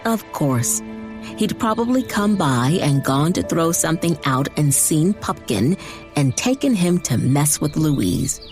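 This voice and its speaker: Female narrator, low register, deliberate scripted storytelling with faint music underneath. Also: big pitch swings, darkish tone. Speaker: audiobook narrator.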